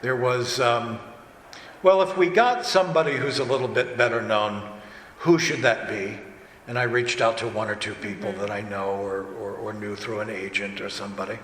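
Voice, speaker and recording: A man's voice: fairly low pitch, fairly even intonation, moderate pace, a bit bright. A man speaking as a director on a casual convention panel, rambling along with trailing asides. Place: convention panel room, indoors. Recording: echoey hall, noisy room ambience.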